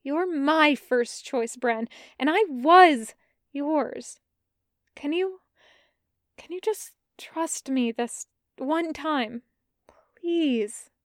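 Storybook heroine, fierce and loving, pitched high and dry close sound, read by a woman. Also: expressive, very slow, no echo, heavily acted. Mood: pleading. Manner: earnest, pleading, voice climbing high.

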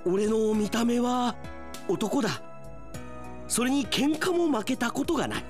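A man acting a brash, aggrieved high school boy in a melodramatic, exclamatory radio drama style. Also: sing-song, a little slow, soft background music, exaggerated voice. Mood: indignant.

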